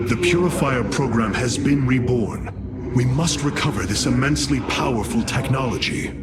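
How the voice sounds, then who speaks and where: A man's voice: mid-range voice, expressive, moderate pace, lightly acted. A man voicing a resolute, stately alien warrior leader in a video game, speaking in a solemn, formal, commanding way. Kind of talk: dramatic sci-fi game acting. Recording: loud music under the voice. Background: orchestral game music.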